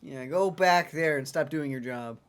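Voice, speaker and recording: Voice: medium pitch, moderate pace. A sardonic, dry-humored co-commentator chatting casually over a video game. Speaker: let's play co-commentator. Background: game music.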